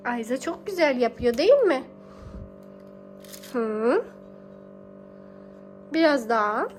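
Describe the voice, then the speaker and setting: A young woman, a mother talking casually and affectionately to her small child, encouraging with pet names and repeated phrases. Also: sits high. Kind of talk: casual coaxing talk to a small child while baking.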